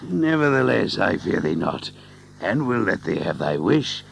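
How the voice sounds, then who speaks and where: A stout old-English friar, with a very gravelly, low voice. He blusters slowly and heartily in archaic speech, as in a radio drama, over a slightly noisy recording. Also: big pitch swings, heavily acted. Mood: wary, bold. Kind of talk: audiobook radio drama acting.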